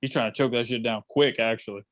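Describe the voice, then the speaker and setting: A man's voice: medium pitch, fairly even intonation, fast talker. A man co-hosting a casual podcast, bluntly dismissive and full of bro and dude. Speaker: podcast co-host. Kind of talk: casual banter.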